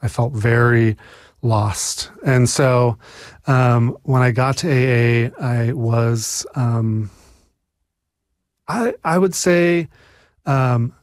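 A man's voice: medium pitch, very slow, long pauses, dry recording, studio quality. A man in his forties with an American accent, telling his recovery story in a casual, hesitant way with many fillers and restarts. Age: 40s. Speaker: speaker sharing recovery story. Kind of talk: casual personal share.

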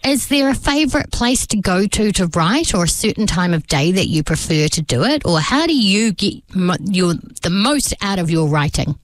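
A woman's voice: on the low side, very animated, normal speed, few pauses, no echo. Chatty woman radio host, dry sound. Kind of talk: radio interview.

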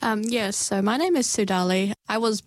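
A woman in her thirties with a slight New Zealand accent, chatting in a relaxed, friendly way as a guest. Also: mid-range voice, moderate pace, dry recording, studio quality. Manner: friendly, relaxed, uses fillers. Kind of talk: casual conversation.

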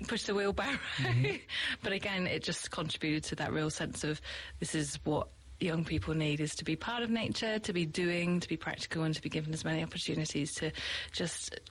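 A woman's voice: low voice, a little fast, hardly any pauses, breathy edge, low-quality recording. A middle-aged British woman from the UK, a teacher, answering interview questions in a reflective, chatty way with many fillers and light laughs.

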